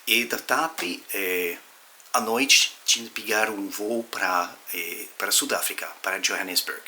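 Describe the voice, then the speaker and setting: Male, 50s, non-native speaker with foreign accent. Very bright, forceful voice, halting explanatory vlog delivery, faint hiss behind. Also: lowish voice. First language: not Portuguese, a second language. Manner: halting, searching for words, explanatory. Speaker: language vlogger. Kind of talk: informal motivational talk about language learning.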